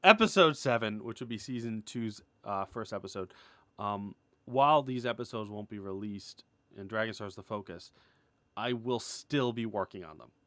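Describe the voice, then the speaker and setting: A man giving a casual, unscripted creator update, rambling and excitable, starting and stopping his phrases as he goes. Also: lowish voice, normal speed, dry recording. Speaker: animator and web series creator giving an update.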